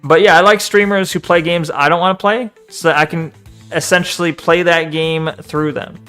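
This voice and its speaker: Animated male streamer, slightly high voice. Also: normal speed, clean tone, faint music behind. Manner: animated, swears, talks back to the screen. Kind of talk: casual live-stream chat and video reaction.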